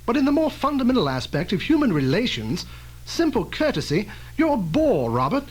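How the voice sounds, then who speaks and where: Old-time radio drama scientist, male actor: older, protective, formal, cautious, high-pitched with very lively intonation. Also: exaggerated voice. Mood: stern, reproving. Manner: measured, admonishing, formal.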